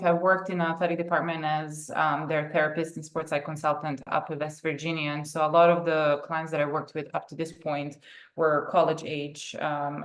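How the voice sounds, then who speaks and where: A young woman from Serbia, answering conversationally as an interview guest on a video call. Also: low-pitched. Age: young adult. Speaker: guest, therapist and sports psychology consultant.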